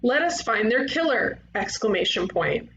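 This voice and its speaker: A woman playing an eager, brash young ghost detective in an animated comedy table read, blunt and emphatic. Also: fairly high pitch, normal speed. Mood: grandiose, pleading. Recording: roomy, dull lo-fi sound with hiss.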